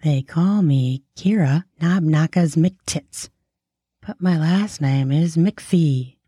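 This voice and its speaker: A man's voice: on the high side, takes it slowly, dark tone, slightly rough, no echo, studio quality, pitched above the performer's own voice. A man voicing a bawdy, sly frontier barkeep woman with a Minnesota accent and a teasing, drawling delivery. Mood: flirty. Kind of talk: audiobook character voice.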